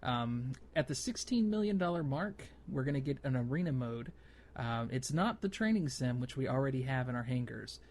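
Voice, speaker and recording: A man's voice: mid-range voice, animated, moderate pace, darkish tone. A man hosting a gaming podcast, explaining things casually in a loose, hesitant way. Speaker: podcast host.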